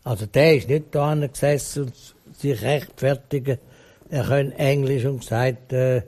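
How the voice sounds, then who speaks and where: A man's voice: medium pitch, fairly even intonation, unhurried, darkish tone. A man with a Swiss German accent, talking bluntly and emphatically in a rambling interview answer. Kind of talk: opinionated conversational interview.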